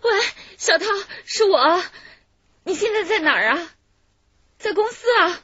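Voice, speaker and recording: A woman's voice: very high-pitched, animated, unhurried, a bit bright, no echo, lo-fi sound, exaggerated voice. An actress playing a terrified, pleading female kidnap hostage in a radio crime drama, tearful and stammering. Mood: desperate, tearful.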